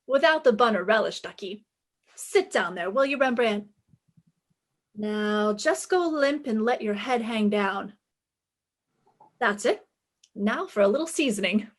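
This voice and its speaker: A woman's voice: a little high, animated, measured pace, long pauses. A woman playing a sassy, confident private detective in a 1950s-style radio drama, breezy, wry and commanding. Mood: breezy, bossy.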